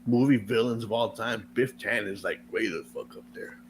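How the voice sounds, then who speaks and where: A man's voice: lowish voice, husky. A man hosting a casual podcast, rambling and joking in profane banter. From Texas. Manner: rambling, joking, quick to laugh. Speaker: podcast host.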